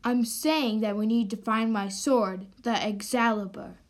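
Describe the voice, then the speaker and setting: A woman's voice: fairly high pitch, fairly even intonation, a little slow, voice pushed down, lightly acted. A woman acting a self-assured, vain young man in an amateur radio drama, with a smug, commanding manner. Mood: smug, impatient.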